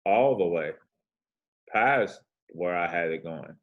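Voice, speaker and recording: A man with a very deep, low voice and a soothing tone talks through charts in a relaxed, slangy way. He drifts into rambling asides as he goes, easygoing and conversational. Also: takes it slowly, muffled recording. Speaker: forex trading host. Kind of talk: casual chart walkthrough.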